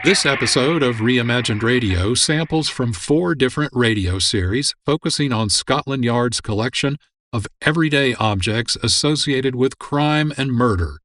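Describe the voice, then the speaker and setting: A man's voice: mid-range voice, moderate pace, fairly bright tone, no echo. A man hosting a radio program, reading scripted narration and credits. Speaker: producer and host. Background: music.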